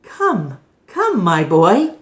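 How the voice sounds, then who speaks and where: A woman acts out a solemn old keeper of the flame from a children's storybook. He proclaims grandly and kindly at an unhurried pace, with the echo of a room around the voice. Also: on the low side, wildly swooping pitch, heavily acted. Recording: echoey room.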